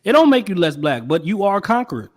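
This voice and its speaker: A man's voice: sits high, very animated, a little fast, a little mellow. A man calling in to a heated live debate, blunt and insistent, addressing the host as his brother. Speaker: caller.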